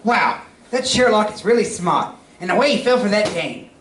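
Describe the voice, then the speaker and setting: A man playing an excitable, easily confused sidekick in an old-time radio comedy double act, wisecracking and exasperated. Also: high-pitched, over loud music. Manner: exasperated, wisecracking, rapid back-and-forth delivery. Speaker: stage actor in a reader's theatre. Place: indoor theatre stage, recorded from a distance. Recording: roomy, distant stage recording.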